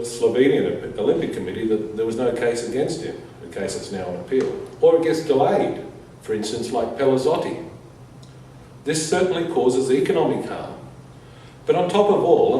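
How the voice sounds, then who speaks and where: A man reading a prepared statement aloud as a conference speaker, in measured, deliberate short phrases. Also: medium pitch, dark tone. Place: reverberant conference room. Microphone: distant from the speaker. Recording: echoey room, distant mic, faint noise.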